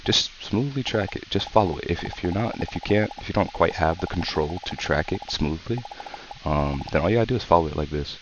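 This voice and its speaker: A man hosting a gaming channel, sick with a sore throat and talking calmly and softly as he coaches through a tutorial. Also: sits low. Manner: calm, slow, soft-spoken coaching. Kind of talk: casual tutorial commentary. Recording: dull lo-fi mic with faint hiss.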